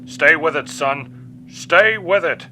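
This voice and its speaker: Man acting a gruff, edgy commanding father. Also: on the high side, slow pace, sharp tone, a little hiss or hum, pitched above the performer's own voice, heavily acted. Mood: harsh urging. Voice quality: harsh, edgy. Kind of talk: dramatic audio story reading.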